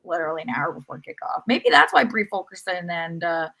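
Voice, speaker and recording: A woman's voice: on the low side, animated, a little fast, creaky, fairly bright tone. A woman co-hosting a sports podcast, recapping matches in an informal, lightly joking conversational way. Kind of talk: casual match recap chat.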